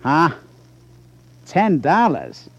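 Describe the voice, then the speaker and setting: An American actor playing a slick, short-tempered gambling boss with brusque, mocking tough talk in 1950s radio drama style. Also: male voice, fairly high pitch, wildly swooping pitch, extremely slow, creaky, no room sound, heavily acted. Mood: mocking, goading. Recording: dull 1950s radio recording.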